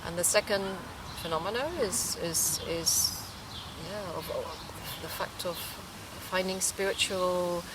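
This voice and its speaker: A middle-aged woman from the UK, answering interview questions thoughtfully, pausing and restarting often as she searches for words. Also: lowish voice, fairly even intonation. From United Kingdom. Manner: hesitant, many pauses and restarts, thoughtful. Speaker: documentary interviewee. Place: outdoors in a city park or street. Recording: distant mic with outdoor noise. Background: city ambience, birds, passing traffic.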